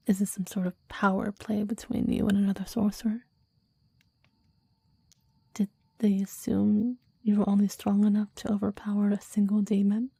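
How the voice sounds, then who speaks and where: A woman playing a clingy, deadly demon devoted to a master, in a breathy ASMR roleplay whisper with formal, old-fashioned phrasing. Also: medium pitch, dark tone, no echo. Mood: wary, possessive. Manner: formal, archaic, reverent phrasing.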